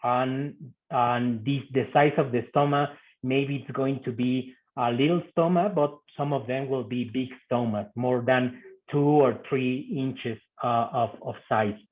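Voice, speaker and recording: A man with a Mexican Spanish accent presents a medical topic in English, his second language. He talks in halting phrases, stopping often on fillers and repeated words. His voice sits at an ordinary pitch and comes through a narrow, phone-like video call line. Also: fairly even intonation. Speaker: guest speaker, physician.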